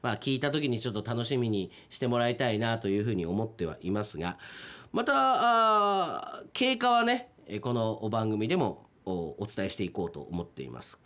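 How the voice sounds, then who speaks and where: A man's voice: fairly low pitch, wildly swooping pitch, normal speed, few pauses, fairly bright tone. A man hosting a radio show in casual chat with guests, teasing them and prone to chuckling. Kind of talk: casual post-recording chat.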